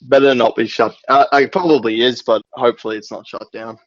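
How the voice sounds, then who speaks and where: A man's voice: mid-range voice, steady tone, brisk, dry recording. A young Australian man hosting a casual podcast chat, joking in slangy, sweary language. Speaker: podcast host. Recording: dull lo-fi call audio.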